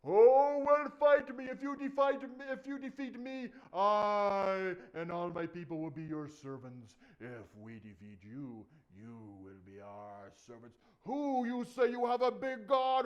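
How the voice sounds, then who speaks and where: A man voicing a huge, boastful giant warrior who mocks his enemies in slow, drawn-out taunts for a Bible story. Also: level tone, darkish tone, lo-fi sound. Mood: booming challenge. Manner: drawn-out booming taunts with chicken clucks.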